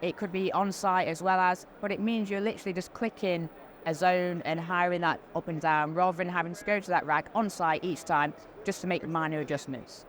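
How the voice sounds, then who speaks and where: A woman's voice: lowish voice, steady tone, a little fast. Informal, practical woman explaining products. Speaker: product specialist being interviewed. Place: trade show floor. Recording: faint background noise. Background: faint ambient noise.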